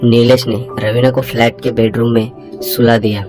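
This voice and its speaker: A man's voice: medium pitch, level tone, normal speed. A man narrating a scripted bedtime story with dramatic storytelling and voicing the hero's lines. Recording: loud music bed under narration.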